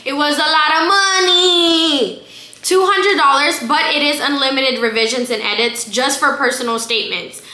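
A woman's voice: high-pitched, moderate pace, pushed and bright. A woman in her twenties with an American accent, a non-native English speaker, chatting casually and emphatically to camera as a slangy vlogger. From South Florida, immigrant from the Philippines. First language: a language other than English. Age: 20s. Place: small bare room indoors. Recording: echoey room.

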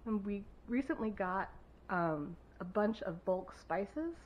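A woman's voice: mid-range voice, expressive, slow pace, husky, highs cut off. Chatty woman giving a cabin tour. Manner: chatty, filler-heavy, enthusiastic. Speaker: homestead vlogger giving a home tour. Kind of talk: casual home tour narration. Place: wood-paneled cabin kitchen, indoors.

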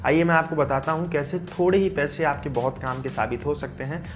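A man's voice: a little high, fairly even intonation, a little fast, music in the background. A young man in his twenties hosting a tech news channel, presenting upbeat and direct to camera in a home studio, speaking on a lapel mic. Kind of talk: scripted tech news explainer. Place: indoor home studio with lit gaming setup.

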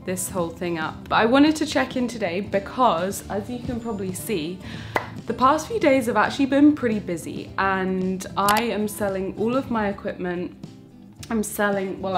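A young woman in her twenties, talking casually to camera as a vlogger in her small home bakery kitchen, with lots of fillers and restarts. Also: medium pitch. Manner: chatty, warm-hearted, many fillers and restarts. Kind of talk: casual vlog talk to camera.

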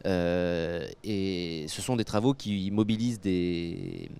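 A man in his thirties with a French accent speaks in a fairly low, smooth voice. He explains things like an academic being interviewed, pausing and restarting as he measures his words. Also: very animated, a little mellow. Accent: French from France. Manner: hesitant, measured, with frequent pauses and repeated words. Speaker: guest expert, academic geographer. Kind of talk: interview answers, analytical explanation. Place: indoor interview set at a convention. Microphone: handheld microphone.

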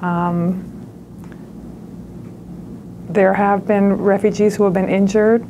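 Even-toned middle-aged woman, noisy background. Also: mid-range voice, takes it slowly, muffled recording. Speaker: doctor. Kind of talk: documentary interview. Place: medical office indoors. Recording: clear background room noise.

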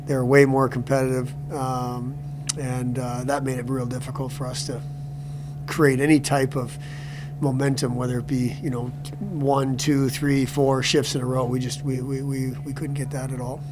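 Halting middle-aged coach at a press conference. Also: male voice, medium pitch, level tone, background noise. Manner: halting, repeats words, many restarts. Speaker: hockey coach. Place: press room before a sponsor backdrop.

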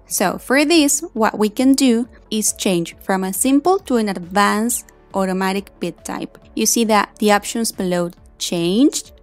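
A woman gives an instructional voice-over for a software tutorial. She explains things one step at a time and checks in casually with the listener. Her pitch sits in a middle range for a woman, and music plays behind her. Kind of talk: instructional screen-recording narration. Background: background music.

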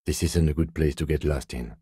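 A man acting a reserved, dutiful police detective in a video game, speaking in a measured, formal, deadpan way with dry courtesy. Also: a bass voice, husky, no room sound, heavily acted. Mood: cautious, quietly insistent. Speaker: voice actor.

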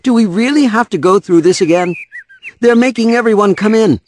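A man's voice: sits high, fairly quick, a little mellow, slight background noise, close to an everyday voice. A man playing a harried, defensive divorced father in an audio drama, weary and impatient. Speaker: voice actor.

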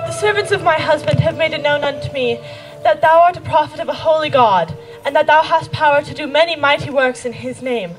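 High-voiced reverent queen, played by a young woman. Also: a little fast, few pauses, music in the background, lightly acted, rough recording. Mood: earnest, reverent. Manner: formal, archaic recitation. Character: reverent queen pleading for her sick husband. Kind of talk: amateur scripture pageant acting. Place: outdoor wooded stage. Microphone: handheld microphone.

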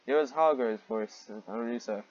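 A man's voice: mid-range voice, moderate pace, lo-fi sound. A man reading fan fiction aloud and commenting on it in a sarcastic, exasperated, sweary manner.

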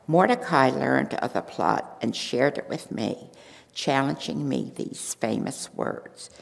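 Queen Esther tells her own story with quiet resolve, as an older woman reads her part from a lectern. The voice sits low and gravelly, unhurried, and the words ring on in a long church reverb. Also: full character voice. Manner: reads steadily from a script, earnest. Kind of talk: first-person dramatic monologue read in church. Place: large church sanctuary. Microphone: stand mic at a lectern.

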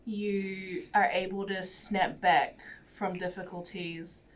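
A woman's voice: mid-range voice, flat delivery, takes it slowly. A woman from New Zealand hosting a podcast in a small studio, supportive and sharing personal reflections. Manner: supportive, frequent soft agreement sounds, personal reflection. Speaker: podcast host. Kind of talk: casual studio conversation. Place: small studio with plants and shelves. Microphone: podcast mic on a boom arm.

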